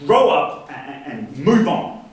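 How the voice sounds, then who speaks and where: A man acting a bitter, middle-aged brother quarrelling in a stage drama, with halting, repeated words. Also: on the high side, sing-song. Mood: bitter, heated. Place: echoey indoor space, far from the mic. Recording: very echoey room, distant mic, hiss.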